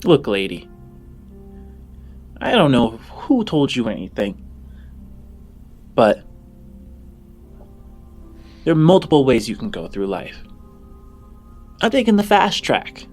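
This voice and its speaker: A man's voice: mid-range voice, huge pitch swings, slow, long pauses, soft background music, full character voice. A man playing a guarded, cynical orphan boy who thieves, speaking curtly and defiantly in a tabletop game. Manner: curt, defiant.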